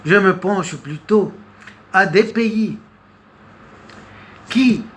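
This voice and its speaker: Very slow, halting man giving commentary. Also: sits high, fairly bright tone. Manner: halting, hesitant, with long pauses and repeated words. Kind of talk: unscripted political commentary monologue. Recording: noticeable background noise.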